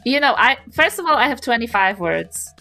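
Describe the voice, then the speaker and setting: A woman chatting casually as a player in a tabletop role-playing game, giggly and thinking out loud as she goes. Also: fairly high pitch, very animated, faint music behind. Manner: halting, thinking aloud, giggly. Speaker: player in a tabletop RPG podcast.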